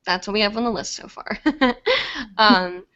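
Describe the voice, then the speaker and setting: A young woman hosts a casual live stream, reading comments aloud in a chatty way and leaning on lots of fillers. Her voice is a little congested from a lingering cold, and it sits at an ordinary middle pitch. The audio is dull and lo-fi. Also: measured pace, pushed and bright, clean tone. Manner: chatty, many fillers, laughs easily. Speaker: live show host.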